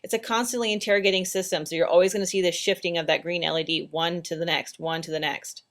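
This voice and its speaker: A woman's voice: on the low side, steady tone, quick pace, a bit bright, slight background noise. A woman narrating instructional training slides in a plain, practical, step-by-step way. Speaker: training presenter.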